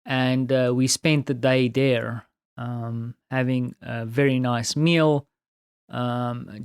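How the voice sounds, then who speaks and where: A tired man with a South African accent and Afrikaans as his first language, talking in a casual, reflective, halting solo audio diary. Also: medium pitch, clear, resonant tone, no echo, clean studio sound. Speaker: podcast host recounting his day.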